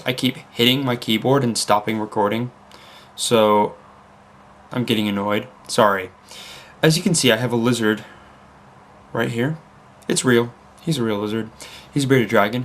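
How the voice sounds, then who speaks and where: A man's voice: mid-range voice, fairly bright tone. Young vlogger, American accent with Leeds creeping in, rambling casually at his desk. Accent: mixed American and Leeds. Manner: rambling, self-interrupting, with fillers. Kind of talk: casual chat to camera. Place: home room at a computer desk.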